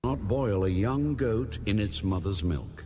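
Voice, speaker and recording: A very low-voiced man reads scripture with grave authority over clear background music. His delivery is slow and solemn, with a widely moving intonation that gives each line weight. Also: darkish tone. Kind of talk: solemn scripture narration, audio Bible reading.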